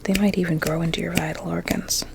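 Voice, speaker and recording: A woman playing a vain, sinister blueberry doctor in an ASMR medical roleplay, speaking in a soft breathy whisper with soothing menace. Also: lowish voice, level tone, fast, heavy background noise. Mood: coldly sinister.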